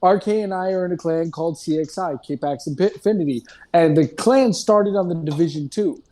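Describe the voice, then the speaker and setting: A man's voice: a little high, normal speed, dark tone. A man in a casual group discussion, talking in a loose, digressive way and often checking in with "you know what I mean".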